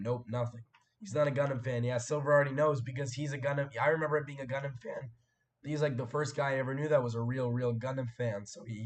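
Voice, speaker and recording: A young man hosting a casual live stream, his voice hoarse and cracking after a sore throat, rambling and clearing his throat as he chats with viewers. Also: mid-range voice. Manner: rambling, chatty, frequent fillers and throat clearing.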